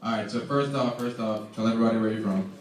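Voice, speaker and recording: A man casually asking quick interview questions with relaxed slang. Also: on the low side. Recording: echoey room from far off.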